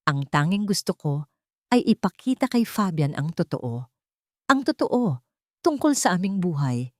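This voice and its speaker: A woman's voice: lowish voice, sing-song, a little slow, a little mellow, no room sound, studio quality. A woman story narrator reading a scripted first-person drama in a dramatic storytelling style.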